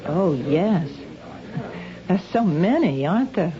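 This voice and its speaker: A woman's voice: lowish voice, sing-song, a crowd in the background. An actress playing an anxious, troubled married woman with a secret, speaking hesitantly in a radio drama. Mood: nervous, faltering. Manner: hesitant, halting. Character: anxious, troubled married woman hiding her compulsive stealing. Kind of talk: 1970s radio drama acting. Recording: dull lo-fi old radio recording.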